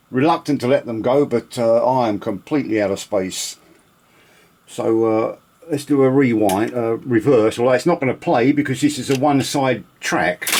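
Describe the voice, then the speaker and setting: A man giving a casual, unscripted demonstration of vintage gear, chatty and rambling with halting pauses. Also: medium pitch, a little mellow.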